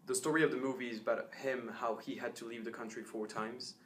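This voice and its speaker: Young male student with a mid-range voice, thoughtful and explanatory, with frequent filler pauses. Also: mid-range voice, little pitch movement, a little hiss or hum. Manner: thoughtful, explanatory, many uhs. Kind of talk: casual testimonial.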